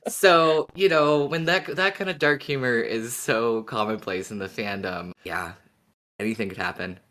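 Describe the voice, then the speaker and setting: Woman co-host with a deeper speaking voice. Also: moderate pace, fairly bright tone. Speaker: podcast co-host. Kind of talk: casual discussion of a fiction podcast.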